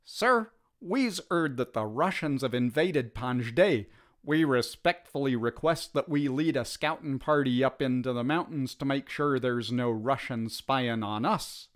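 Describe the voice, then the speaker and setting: Guy doing a wary uneducated british army grunt, rough grammar, storybook tale. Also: mid-range voice, unhurried, natural voice. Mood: scheming. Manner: rough ungrammatical speech.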